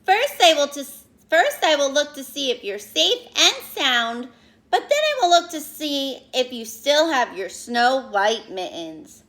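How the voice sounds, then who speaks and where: A woman's voice: sits high, sing-song, unhurried, fairly bright tone, exaggerated voice. A woman voicing a caring picture-book grandmother who gives firm, instructive warnings. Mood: cautioning.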